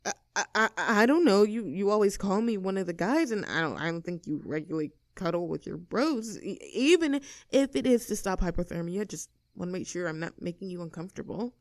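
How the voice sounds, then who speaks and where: An easygoing young tomboy , acted by a woman, speaks at a middling pitch with a gravelly fry at the edges. The sound is close and dry, as in an intimate audio roleplay. She is casual and teasing with her friend, with a tender, caring streak. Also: lively intonation, dry recording, lightly acted. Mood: flustered, hesitant. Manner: casual, teasing, tender, halting when flustered.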